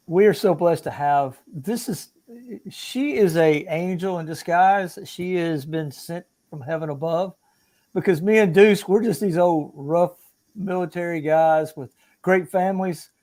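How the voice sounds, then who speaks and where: Older veteran co-host, higher voice, rambling and jokey. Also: male voice, unhurried, a little mellow. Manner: jokey, rambling, self-deprecating. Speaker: podcast co-host, military veteran.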